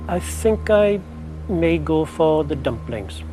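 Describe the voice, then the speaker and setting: A man's voice: a little high, lively intonation, slow, over music. A Welsh man in his fifties, a quiz contestant in a TV studio, thinking aloud hesitantly. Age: 50s.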